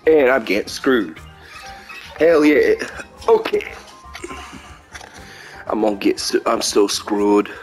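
A man's voice: lowish voice, expressive, takes it slowly, long pauses, soft background music. A young man giving rambling, self-mocking commentary while playing a card game, now and then breaking into silly sing-song chants. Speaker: gameplay commentator. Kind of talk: casual gameplay commentary.

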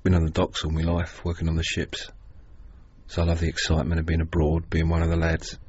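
Dead docker soldier, radio drama, husky n monotone, plain-spoken, resigned, slightly dull recording. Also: male voice, brisk. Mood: resigned, wry. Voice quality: husky, very deep.

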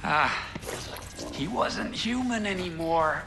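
An actor playing an excitable, awkward, friendly tinkerer in a video game, theatrical and halting. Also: male voice, a little high, noisy background. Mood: showy, intrigued. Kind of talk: video game cutscene acting.

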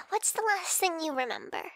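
A woman acts out a flustered young female friend in an intimate ASMR roleplay. Her voice is high and soft, with hesitant, stammering delivery. The sound is dry, with hardly any room in it. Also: big pitch swings, normal speed, dry recording, exaggerated voice. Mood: sheepish, hesitant. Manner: hesitant, stammering, soft-spoken with breathy whispered moments.

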